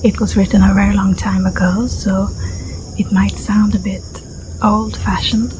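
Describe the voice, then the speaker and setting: A woman with a Swedish accent, a native Swedish speaker, reading a story as soft-spoken ASMR in a gentle, breathy voice that often drops to a whisper. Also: mid-range voice, little pitch movement. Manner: gentle, unhurried, soothing. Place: outdoors in a garden. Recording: clear outdoor ambience with insect noise. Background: crickets and insects, garden ambience.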